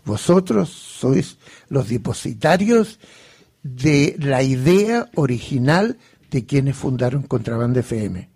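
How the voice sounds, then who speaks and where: A man's voice: medium pitch, very animated, slow pace, darkish tone, breathy edge. An older man presenting informally to an audience at a live event, often repeating words and phrases as he goes.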